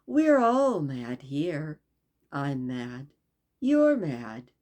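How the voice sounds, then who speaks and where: A woman voicing a sly, riddling cat, speaking softly with a teasing drawl in a storybook reading. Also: sits low, sing-song, drawn-out words, voice pushed down, full character voice. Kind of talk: storybook read-aloud.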